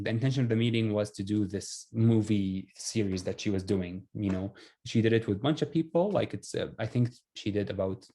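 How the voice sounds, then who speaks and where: A young man from Syria speaks English. His voice is fairly low and very mellow. He tells his own story conversationally, with a modest, self-effacing manner. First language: Arabic. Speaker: refugee telling his life story. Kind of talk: casual personal storytelling.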